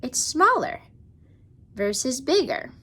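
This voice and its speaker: High-voiced woman teaching children. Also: big pitch swings, slow pace, a bit bright, husky. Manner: explains simply with frequent questions to the viewer. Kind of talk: children's how-to lesson, casual talk to camera. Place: indoors at home.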